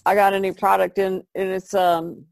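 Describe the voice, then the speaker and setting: A woman's voice: medium pitch, level tone, normal speed, vocal fry, darkish tone, no echo. A woman artist chatting casually as a podcast regular, explaining things informally. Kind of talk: casual chat, explaining art materials.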